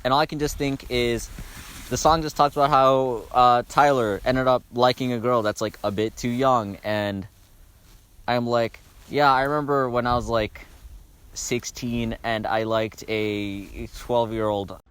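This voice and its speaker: A man's voice: mid-range voice, steady tone, measured pace, a bit bright, clear, resonant tone. A young man talking casually and bluntly about songs as a video host, swearing freely.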